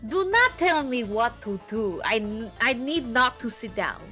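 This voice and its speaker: A woman's voice: a little high, very animated, measured pace, bright, forceful tone, faint music behind, heavily acted. A woman voicing an impatient, pompous older male witness, in a put-on European accent that keeps shifting. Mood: irritated, blustering.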